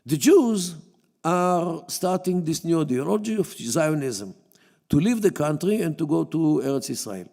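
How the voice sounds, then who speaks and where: A man whose first language is Yiddish lectures to an audience in a synagogue hall. He speaks haltingly, with frequent fillers and restarts, in a dark, gravelly voice pitched a little above most men's. A long reverb from the hall trails each phrase. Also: expressive. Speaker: guest lecturer. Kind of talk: public lecture.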